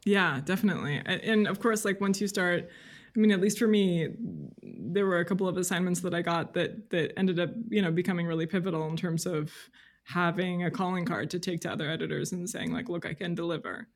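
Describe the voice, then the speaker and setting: A woman's voice: lowish voice, steady tone, a little fast. A woman speaking as an interview guest in casual conversation, thoughtful and hesitant as she works toward her point. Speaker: podcast guest, freelance journalist.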